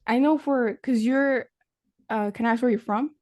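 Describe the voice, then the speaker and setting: A woman's voice: fairly high pitch, normal speed, a little mellow, no room sound, studio quality. A young woman from Mexico hosting a podcast interview in a casual, rambling way, with many fillers and restarts. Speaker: podcast host.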